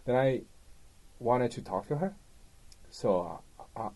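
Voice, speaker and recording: A man's voice: medium pitch, expressive, slow pace, a little mellow. A man co-hosting a podcast, telling stories casually in informal phrasing full of "you know". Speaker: co-host guest producer.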